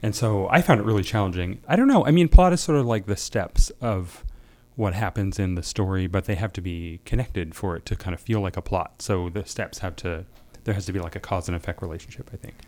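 A man's voice: sits low, a little fast, darkish tone. A man speaking casually as a guest writer, with a tentative, hedging way of explaining himself. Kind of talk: casual conversation.

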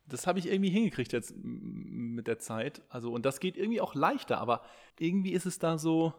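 A man's voice: fairly high pitch, expressive, a little fast, a little mellow. A man co-hosting a podcast in casual chat, rambling with many fillers and restarts. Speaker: podcast co-host. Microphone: podcast microphone.

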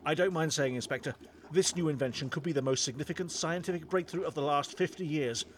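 A man's voice: a little high, fast talker, a little hiss or hum, natural voice. A man playing a proud, eccentric Victorian inventor in an audio comedy, grandiose and easily defensive. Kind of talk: scripted audio comedy acting.

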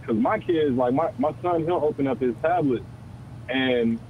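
A man's voice: mid-range voice, normal speed. A male caller on a call-in show, talking earnestly in a rambling way with many fillers. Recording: dull phone line with faint noise.

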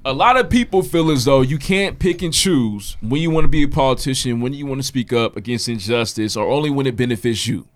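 A man's voice: medium pitch, a little fast, few pauses. A man co-hosting a podcast, telling a news story in loose slang with plenty of swearing. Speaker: podcast co-host. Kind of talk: casual chat.

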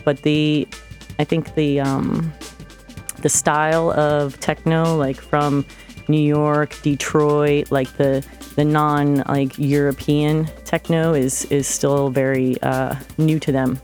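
A woman's voice: deep voice, little pitch movement, slow pace, darkish tone, faint music behind. A woman from Florida, a DJ guest in a casual radio studio interview, talking hesitantly with many fillers and restarts. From Florida, United States.

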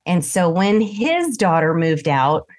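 A woman's voice: fairly low pitch, slow. An older woman from southern Idaho with a mixed American accent, chatting as an interview guest and telling her story with frequent laughs. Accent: mixed American, Idaho and Texas. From southern Idaho, USA. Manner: chatty, animated, laughs often. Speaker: guest, lavender farm owner.

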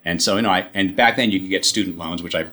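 A man, a company chief telling his story in a casual interview, halting and restarting often, with dry humor. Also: deep voice, bright, forceful tone. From New York, USA. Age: 60s. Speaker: guest, company CEO.

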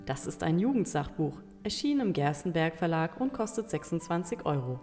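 A woman's voice: on the low side, sing-song, brisk, darkish tone. A woman hosting a book podcast for young listeners, presenting in a conversational style. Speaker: podcast host. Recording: long reverb, music behind.

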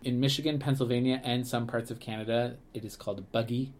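A man co-hosts a casual podcast, chatting in a rambling way full of fillers and quick restarts. His voice sits at an ordinary middle pitch. He laughs often as he talks. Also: steady tone, a little mellow, slight background noise. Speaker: podcast co-host, museum staff. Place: Canada.

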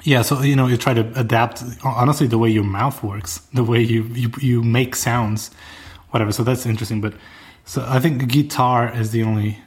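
A man's voice: medium pitch, fairly even intonation, moderate pace, few pauses. A young adult man whose first language is Portuguese, chatting casually and enthusiastically, often restarting his sentences. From Brazil or Portugal. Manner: enthusiastic, rambling, frequent restarts. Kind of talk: casual chat.

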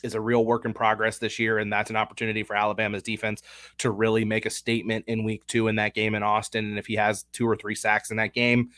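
Low-voiced man with a head cold. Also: monotone, quick pace, fairly bright tone, no echo, clean studio sound. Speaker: podcast co-host. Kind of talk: casual sports betting chat.

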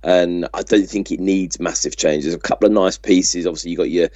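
A British man with a low, soft-edged voice chats about sport as a podcast host. He speaks conversationally and takes his time over a point. The recording is dull and lo-fi, with a dry sound. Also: steady tone, brisk, dry recording. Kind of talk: casual sports chat.

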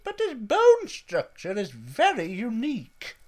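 Woman as gentle, placating restaurant boss, sensitive and well-meaning, varied pitch, sketch comedy. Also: wildly swooping pitch, unhurried. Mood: earnest. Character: well-meaning, easily hurt female restaurant manager. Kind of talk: adult comedy sketch acting.

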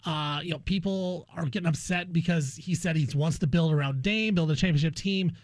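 Informal man hosting sports radio, higher-pitched voice. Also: brisk, no room sound, studio quality. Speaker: guest host of sports radio show. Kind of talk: conversational sports radio interview.

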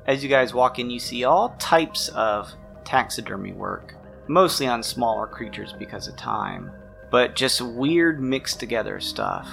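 A man's voice: mid-range voice, sing-song, measured pace, creaky, a bit bright. A man narrating a tabletop mystery game as game master, in a conversational, descriptive way. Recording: music playing behind the voice. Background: background music.

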